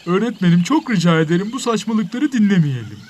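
A man plays a sensitive, polite pupil in a children's educational cartoon. The voice is high and lively, with a reproachful, pleading delivery. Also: quick pace.

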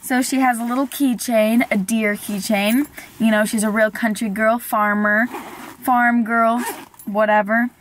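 A woman's voice: a little high, fairly even intonation, unhurried, slight background noise. Chatty young woman vlogging in a car. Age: young adult. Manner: chatty, giggly, says "like" often. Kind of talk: casual vlog chat. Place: inside a parked car. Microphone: camera on the dashboard.

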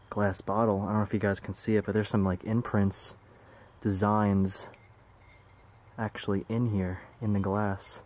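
A young man filming himself as he explores a ruined town, narrating what he finds in a curious, hushed way. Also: lowish voice. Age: young adult. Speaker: urban explorer vlogger. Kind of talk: walking exploration commentary. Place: outdoors and inside ruined buildings. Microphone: handheld camera held at arm's length.

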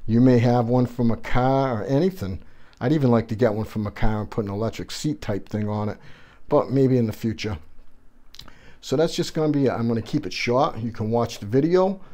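A man's voice: mid-range voice, warm tone. A middle-aged man hosting a tech vlog, talking casually and loosely through a home-built setup in his gaming room while filming with a handheld phone. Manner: casual, rambling, slangy. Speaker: tech and gaming channel host. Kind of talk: casual build walkthrough vlog. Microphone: handheld phone camera.

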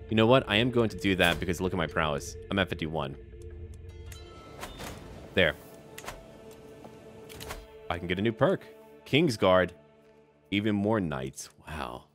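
A man with a deep voice gives casual commentary while playing a strategy game. His pitch moves around a lot as he muses aloud and talks to the characters in short remarks. Faint music plays behind him. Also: a bit bright. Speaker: gaming video creator playing a strategy game. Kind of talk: casual let's play commentary.

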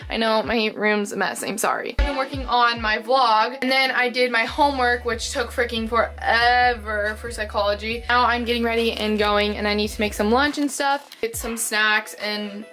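A woman's voice: a little high, moderate pace, hardly any pauses, sharp tone, faint music behind. A young woman with a youthful voice chatting casually and in slang into a handheld camera for a vlog. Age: young adult. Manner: informal, slangy. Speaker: vlogger. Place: bedroom, later a school classroom. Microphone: handheld camera at arm's length.

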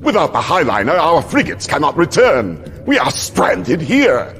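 A man's voice: on the high side, huge pitch swings, measured pace, fairly bright tone, slight background noise, heavily acted. An actor playing a middle-aged, loyal military aide in a game, dramatic and deferential toward his lord. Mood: grave, alarmed. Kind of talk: video game cutscene acting.

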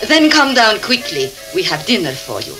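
An actress playing a solicitous, foreboding woman innkeeper with an Eastern European accent in a radio drama, halting and deferential. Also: mid-range voice, very animated, over music, natural voice, rough recording. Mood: welcoming. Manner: halting, tag questions, deferential. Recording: hissy 1979 cassette tape.

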